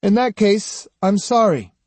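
A man acts out an arrogant young swordsman in a dramatised story. The voice sits high and swings widely, with a husky grain. He is pompous and sneering, leaning hard on his points. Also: lively intonation, normal speed, warm tone, dry recording. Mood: blithe, needling. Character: arrogant, grandly boastful, snide young male swordsman.

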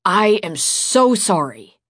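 A woman's voice: medium pitch, very animated, slow, no room sound, studio quality, pitched above the performer's own voice. A woman voicing a sheepish, apologetic teenage boy with an emphatic, deferential manner in an audiobook. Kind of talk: audiobook character dialogue.

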